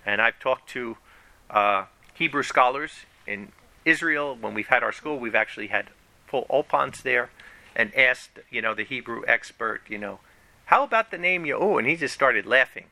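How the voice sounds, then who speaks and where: A man preaching a sermon to his congregation in an animated, conversational way, often raising his voice for emphasis. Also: mid-range voice, normal speed, gravelly, dry recording. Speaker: pastor.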